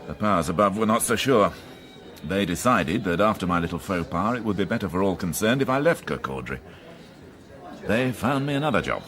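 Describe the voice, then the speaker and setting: An actor in a radio drama playing a wry, world-weary, pedantic police inspector. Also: male voice, low voice, expressive, brisk, fairly bright tone, faint music behind. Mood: wry, reflective.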